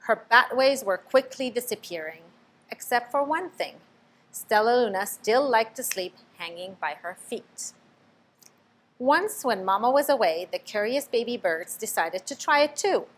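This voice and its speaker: A woman reading a children's picture book aloud, expressive, stopping to ask the listener questions. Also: on the high side, a little slow, poor audio. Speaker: storybook reader.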